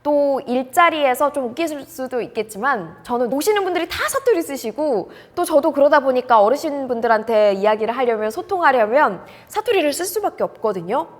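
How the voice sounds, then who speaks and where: A woman's voice: sits high, expressive, a little fast, hardly any pauses, long reverb tail. A woman broadcaster giving career advice straight to the viewers in a polite, earnest way. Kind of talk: direct-to-camera advice talk.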